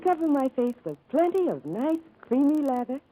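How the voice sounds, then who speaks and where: High-voiced, dainty young sweetheart. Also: female voice, very animated, moderate pace, rough recording. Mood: sweet, dreamy. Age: young adult. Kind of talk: 1940s radio ad acting. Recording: old radio recording, loud music behind.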